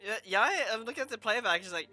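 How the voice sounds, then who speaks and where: A woman's voice: on the high side, animated, fast talker, bright, forceful tone, soft background music. A woman giving casual live commentary as she streams a video game.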